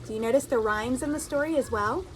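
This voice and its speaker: A woman reading a children's story aloud in an expressive, deliberate storytelling style, like a teacher addressing young listeners. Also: fairly high pitch, slight background noise, low-quality recording.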